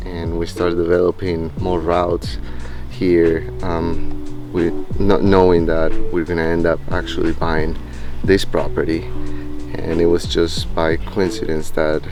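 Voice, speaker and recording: A Colombian man speaking English with a Spanish accent, telling his story in a relaxed, rambling way with many fillers. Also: low voice. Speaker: climber and park co-founder. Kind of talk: casual interview talk. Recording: guitar music underneath.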